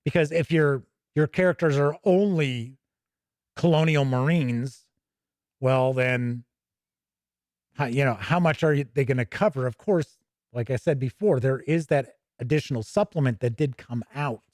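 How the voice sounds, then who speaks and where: A man's voice: medium pitch, measured pace, a little mellow, dry recording, studio quality. A man hosting a tabletop gaming show, chatting casually with asides as he reads aloud and comments on a livestream.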